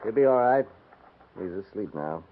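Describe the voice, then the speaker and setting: An actor playing a proud, defiant cavalry deserter, husky and earnestly pleading, in 1950s radio western style. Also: male voice, fairly low pitch, expressive, moderate pace, phone-quality sound, a little hiss or hum, natural voice. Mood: subdued. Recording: band-limited 1950s radio recording.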